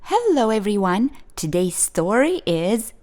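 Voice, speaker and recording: Slow, lively woman reading to children. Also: mid-range voice. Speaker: children's storytime host and reader. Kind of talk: expressive picture book read-aloud.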